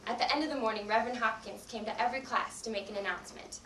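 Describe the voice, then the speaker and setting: A woman's voice: fairly high pitch, very fast, pushed and bright. A young woman narrating a scripted story on stage as a readers theatre narrator. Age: young adult. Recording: echoey room, distant mic, clear noise.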